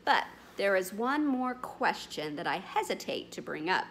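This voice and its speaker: A woman's voice: medium pitch, very animated, measured pace, slight background noise. A woman voicing a fussy, prim male hotel desk clerk in a storybook reading. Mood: fretting.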